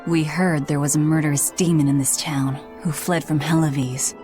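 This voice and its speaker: A woman's voice: sits low, fairly even intonation, normal speed, dark tone, faint music behind, lightly acted. An actress playing a guarded, blunt young demon woman in a game, speaking curtly. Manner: curt, blunt.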